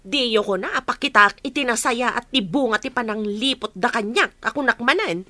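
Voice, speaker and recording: Woman as a bright, high-voiced, bitter wife. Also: lively intonation, moderate pace, no echo. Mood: bitter, defensive. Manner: scornful, sharp, complaining. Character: hard-hearted, bitter wife scorning her husband's illegitimate child.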